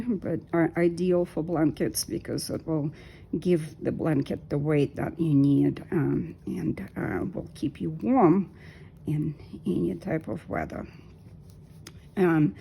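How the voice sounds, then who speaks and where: Low, gravelly-voiced woman in her fifties. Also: warm tone. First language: Russian. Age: 50s. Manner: unhurried, hesitant with many ums. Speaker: knitting vlogger. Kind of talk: casual talk while knitting.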